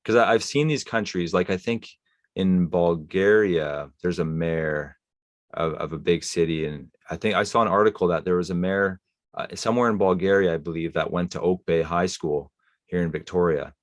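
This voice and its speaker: A man's voice: low-pitched, steady tone, normal speed, dry recording. A man hosting a podcast, talking thoughtfully with lots of fillers and restarts. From Canada. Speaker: podcast host. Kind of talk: casual interview chat.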